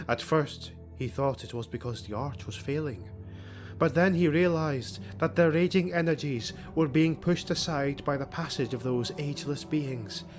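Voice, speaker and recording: A man reading a fantasy audiobook as a dramatic narrator, with a grave, theatrical delivery. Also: medium pitch. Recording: music bed under the voice. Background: background music.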